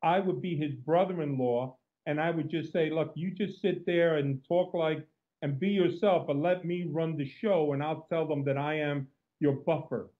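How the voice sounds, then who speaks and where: A man's voice: a little high, normal speed. A man with a Cuban accent, a former undercover agent, telling stories in an outgoing way as an interview guest. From Cuba. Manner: outgoing, storytelling, frequent restarts. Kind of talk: interview conversation. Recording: tinny phone-like line.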